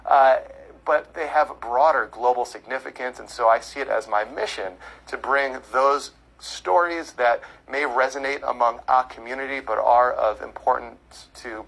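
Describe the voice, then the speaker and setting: A man who hosts a podcast, guesting on a call-in show and answering callers in a measured, explanatory way with frequent fillers. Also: mid-range voice, creaky, fairly bright tone, poor audio.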